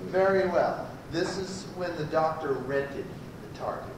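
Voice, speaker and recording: A man's voice: a little high, a little slow, lightly acted. A man playing a blustering prosecuting lawyer in an amateur old-time radio comedy. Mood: flustered, indignant. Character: blustering male prosecuting lawyer in a sci-fi courtroom spoof. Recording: echoey distant room, noisy amateur tape.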